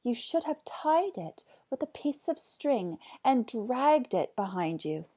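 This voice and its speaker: A woman voicing an exasperated mother from a storybook, scolding shrilly with drawn-out words. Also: a little high, very animated, dark tone, pitched above the performer's own voice, full character voice. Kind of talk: storybook character voice.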